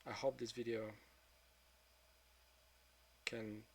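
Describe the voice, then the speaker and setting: A man of twenty-two speaking in a frank, subdued confessional monologue, swearing often and trailing off into quieter mutters. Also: medium pitch, level tone, slow pace, creaky, a little hiss or hum. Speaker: vlogger.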